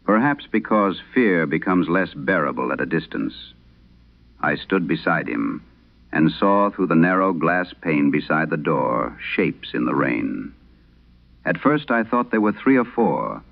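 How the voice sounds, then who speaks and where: A man narrating a scripted horror tale in vintage radio style, solemn and measured, with dramatic pauses. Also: rumbling low, lively intonation, gravelly. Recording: dull, band-limited vintage radio sound.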